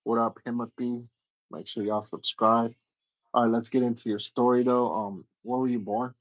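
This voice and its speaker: A man's voice: mid-range voice, little pitch movement, normal speed, no room sound, lo-fi sound. A man hosting a casual livestream interview, slangy and agreeable, asking questions in a laid-back way.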